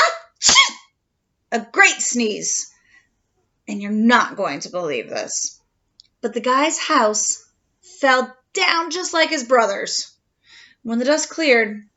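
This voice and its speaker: Storybook wolf played by a woman: wronged, mock-innocent, high and swooping, slightly muffled recording. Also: exaggerated voice. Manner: expressive, mock-innocent storytelling with drawn-out dramatic words. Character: aggrieved male wolf telling his own side, slyly self-pitying.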